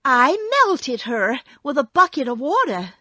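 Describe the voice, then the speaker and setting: A woman's voice: high-pitched, very animated, a little slow, sharp tone, no room sound, clean studio sound, voice pushed up, heavily acted. A woman voicing an earnest, indignant young girl who exclaims and insists, in a storybook reading. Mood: matter-of-fact.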